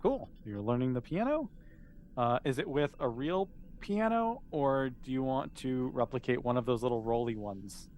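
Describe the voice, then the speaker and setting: Casual male game master, mid-pitched, conversational and explanatory, improvising tabletop narration over faint noise. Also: animated, normal speed, a little mellow.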